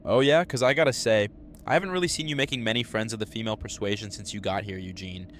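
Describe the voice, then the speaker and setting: Guy acting a needling henchman, low voice, quick n sarcastic, slightly noisy radio play. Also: fairly bright tone, close to an everyday voice. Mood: mocking. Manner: sarcastic, blunt. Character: mocking, needling male henchman at game table. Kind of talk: audio drama acting.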